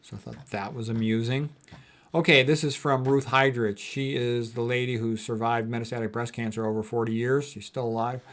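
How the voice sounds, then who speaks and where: A man's voice: mid-range voice, steady tone, fairly quick, fairly bright tone. Blunt, opinionated man lecturing informally. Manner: colloquial, emphatic, repeats points. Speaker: physician giving a lecture. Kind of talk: informal lecture commentary on quotes.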